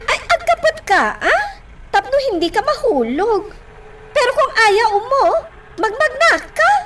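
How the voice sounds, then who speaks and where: A woman's voice: very high voice, sing-song, measured pace, recorded from a distance, noisy background, heavily acted. An actress playing a bossy, whiny young woman in a radio drama, exclaiming and drawing out her words theatrically. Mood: teasing, whiny.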